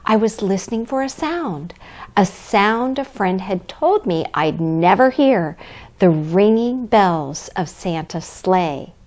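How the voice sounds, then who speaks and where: A woman plays Santa's kindly wife as a storybook narrator for young children. The delivery is gentle and cheerful, taken at a leisurely pace. The melody rises and falls with plenty of expression. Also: mid-range voice, expressive, slow. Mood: gentle, wondering. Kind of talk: children's storybook read-aloud.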